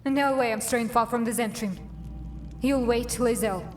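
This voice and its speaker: A woman playing a wry, guarded dark elf assassin in a fantasy game, dry and sardonic in her delivery. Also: a little high, normal speed, soft background music, lightly acted. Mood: firm, guarded. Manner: dry, sardonic, muttering to herself. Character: wry, guarded female drow assassin adventurer. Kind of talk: acted fantasy game dialogue and monologue.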